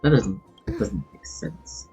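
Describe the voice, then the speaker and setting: A man's voice: a little high, wildly swooping pitch, measured pace, vocal fry. A man with a Filipino accent giving casual, chatty and reactive commentary on a game livestream, switching between languages. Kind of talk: casual live gameplay commentary. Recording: dull lo-fi stream audio. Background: game music.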